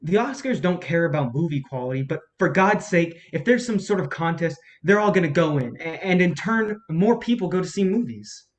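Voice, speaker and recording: A man's voice: fairly high pitch, animated, moderate pace, hardly any pauses, close to an everyday voice. A man in a staged play reading, playing an earnest, self-effacing young assistant with a hesitant, sincere way of talking.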